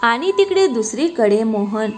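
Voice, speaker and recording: A woman's voice: a little high, sing-song, a little slow, faint music behind. A woman narrating a scripted moral story as its storyteller.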